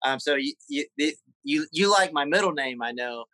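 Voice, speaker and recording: Southern man in his thirties, bright tense voice, dry close sound, interview guest recalling his childhood. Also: on the high side, animated, no echo. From South Carolina. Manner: halting, repeats words while recalling memories. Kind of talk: casual interview conversation.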